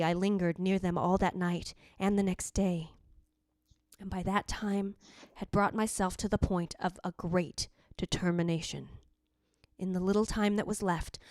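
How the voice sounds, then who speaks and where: A woman's voice: mid-range voice, a little mellow, no echo, lightly acted. A woman playing the ghost of a remorseful, tender dead wife, narrating in lyrical, formal, theatrical old-time radio style.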